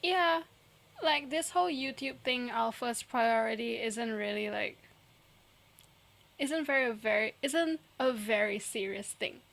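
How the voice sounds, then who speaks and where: Giggly young woman, higher voice. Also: unhurried, creaky, a bit bright. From Singapore. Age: young adult. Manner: chatty, giggly, trails off and restarts. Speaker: podcast co-host. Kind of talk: casual chat.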